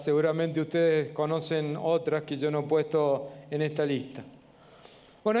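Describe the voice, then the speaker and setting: A man in his fifties with an Argentine accent from Córdoba, giving a measured, earnest public science talk with frequent hesitations, in an auditorium. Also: high voice, a little mellow. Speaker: guest lecturer. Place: auditorium with a seated audience.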